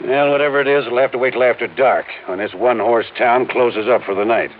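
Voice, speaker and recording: An American actor playing a smug, sardonic gangster boss in an old-time radio crime drama, mock-polite and commanding. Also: male voice, mid-range voice, quick pace, lightly acted. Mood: scheming. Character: cocky, sardonic male gangster boss, menacingly cheerful. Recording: tinny, hissy vintage radio recording.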